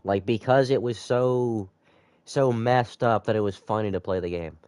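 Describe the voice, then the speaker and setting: A man's voice: lowish voice, normal speed, darkish tone, clean tone, no room sound. A man sick with a cold, slightly nasal, rambling casually to viewers as he commentates a live game stream.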